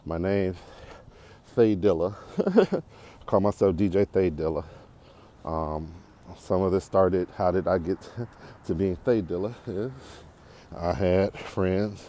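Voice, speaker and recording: An American man in his forties talking casually and unscripted as a podcast host, in a reflective, halting, rambling way while walking outdoors. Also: low voice, mellow. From Detroit area, United States. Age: 40s. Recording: clearly noisy outdoor walking recording.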